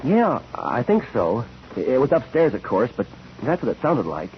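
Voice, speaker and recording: An actor playing a frank young male witness, halting and a little embarrassed, in 1940s radio style. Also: mid-range voice, huge pitch swings. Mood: unsure. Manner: halting, informal. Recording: dull, noisy 1940s radio recording.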